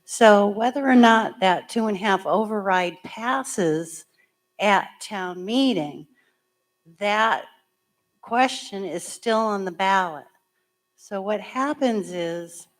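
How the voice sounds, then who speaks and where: Hoarse-voiced woman town clerk. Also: mid-range voice, lively intonation, slow pace, pauses often. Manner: plainspoken, chatty, explains step by step. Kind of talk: explaining procedure at a public town meeting. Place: school meeting room.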